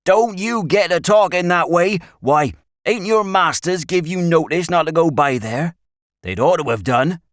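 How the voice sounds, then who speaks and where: Storybook role: man playing grave old shepherd, rural English accent, folksy and emphatic, lively intonation, dry sound. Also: on the high side, a little slow, no echo, pitched above the performer's own voice. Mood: alarmed. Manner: emphatic, folksy dialect speech. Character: old country shepherd, grave, warning, rustic.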